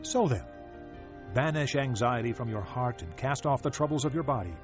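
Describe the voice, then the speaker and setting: A man playing a solemn, reflective ancient sage who declaims scripture in a measured, expressive way. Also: mid-range voice, close to an everyday voice. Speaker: audio Bible reader. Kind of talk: dramatized scripture reading. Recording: dull, lo-fi audio under music. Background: music.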